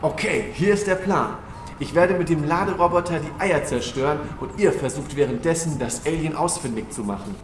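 A man's voice: a little high, normal speed, slight background noise, lightly acted. A man playing an upbeat, take-charge podcaster leading an alien hunt in an amateur sci-fi comedy audio drama. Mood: eager, decisive. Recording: echoey, distant sound with music behind.

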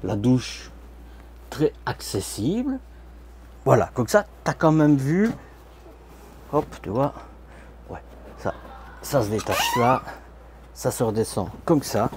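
A man's voice: medium pitch, wildly swooping pitch, slow, long pauses, a little mellow, a little hiss or hum. A man giving a chatty, informal walkthrough of camper vans at a trade show, filmed on a handheld phone. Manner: chatty, informal, addresses viewer as tu, many fillers. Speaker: camper van vlogger. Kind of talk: casual walkthrough commentary. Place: large indoor trade show hall, inside vans.

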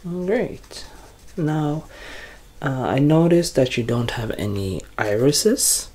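Slow, soft-spoken young man doing ASMR. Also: medium pitch, expressive. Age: young adult. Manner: hesitant, halting, drifting into whispers. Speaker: ASMR performer playing a bumbling doctor. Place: small curtained room at home, indoors.

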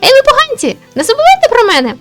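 A woman's voice: very high-pitched, huge pitch swings, racing, fairly bright tone, soft background music, voice pushed up, heavily acted. A woman voicing a brave, protective young magical girl from a visual novel, with emphatic, urgent exclamations. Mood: battle cry. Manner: emphatic, exclamatory battle cries. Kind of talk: dramatic visual novel voice acting.

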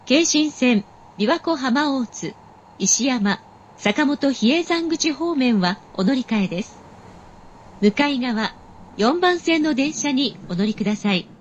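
A woman's voice: high-pitched, animated, slow pace. A woman giving formal, polite scripted train announcements with careful diction. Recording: dull recording with train noise. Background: subway train ambience.

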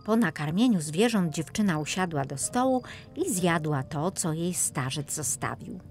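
A woman narrates an audiobook fairy tale for children. Her voice sits a little low, with soft music playing behind it. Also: animated, moderate pace.

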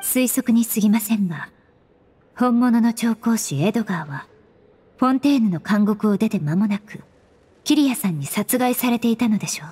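A woman voicing a composed, polite young female investigator in an anime game, formal and analytical, with a soft breathy voice. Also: fairly high pitch, expressive, moderate pace, faint music behind. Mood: grave, explaining.

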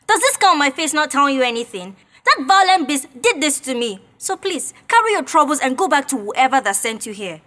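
Casting: guarded, bitter young woman, high bright voice, fed up with troublemakers. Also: big pitch swings, fairly quick. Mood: curt, hostile. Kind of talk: radio drama acting.

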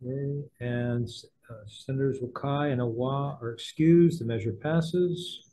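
A man with a very dark, mellow voice calls the roll and reads out measures at a committee hearing. He is brisk and procedural and speaks into a desk microphone in a legislative hearing room. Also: medium pitch, steady tone, low-quality recording. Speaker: committee vice chair. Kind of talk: formal roll call.

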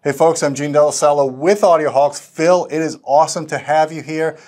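A man's voice: on the high side, fairly even intonation, a little fast, darkish tone, soft background music. A middle-aged American man hosting a casual video interview in an enthusiastic, chummy way. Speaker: host of audio review show. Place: small indoor demo room. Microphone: lapel mic.

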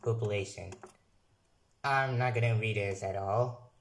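A young man who is not a native English speaker, casually reviewing software on his computer and reading text aloud haltingly, restarting and correcting words. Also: on the low side, reverberant, a little hiss or hum. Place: room at a computer.

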